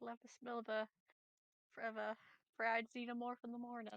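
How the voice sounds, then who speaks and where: A woman's voice: fairly high pitch, completely flat delivery, normal speed, a bit bright, dry recording, muffled recording. A woman playing a tabletop roleplaying game, chatting and joking casually.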